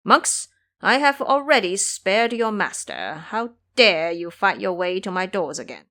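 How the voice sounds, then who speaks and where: A woman voicing a fierce, scornful old male monster warlord with gruff, blustering speech in an audio drama. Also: medium pitch, very animated, measured pace, fairly bright tone. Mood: scornful, indignant.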